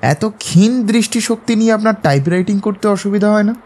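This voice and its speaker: An actor playing a shrewd, self-assured male detective in an audio drama, speaking in a measured, analytical way. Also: high-pitched, a little mellow. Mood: probing, composed. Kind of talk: Bengali audio drama acting.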